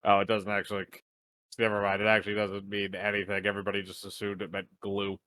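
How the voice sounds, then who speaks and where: A man chatting over a game with a bright, forceful voice. He laughs easily and keeps saying hang on while he looks something up. His voice sounds close and dry. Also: lowish voice, completely flat delivery, fast talker, dry recording. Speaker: let's play co-host. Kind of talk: casual gaming chat.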